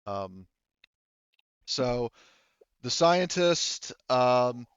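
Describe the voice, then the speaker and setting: A man with a smooth voice acts as game master, recorded dry and a little dull. He narrates and explains the scene in a wordy, halting, improvised way. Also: mid-range voice, expressive, no echo.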